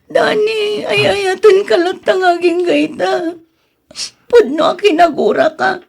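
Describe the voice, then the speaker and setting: A woman's voice: extremely high pitch, steady tone, slow, vocal fry, exaggerated voice. An actress playing a lovelorn, pleading young woman in an emotional radio drama style. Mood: tearful pleading. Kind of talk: Ilocano radio drama acting.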